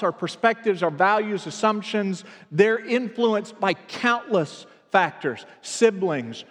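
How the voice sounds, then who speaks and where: A man's voice: fairly high pitch, in a large hall. A man from the American South preaching a reflective sermon as pastor, earnest and building in emphasis.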